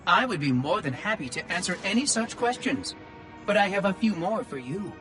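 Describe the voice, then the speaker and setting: A man's voice: high-pitched, very animated, moderate pace, music in the background. An actor voicing a curious, condescending ancient male machine caretaker in video game dialogue. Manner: prying, quietly condescending.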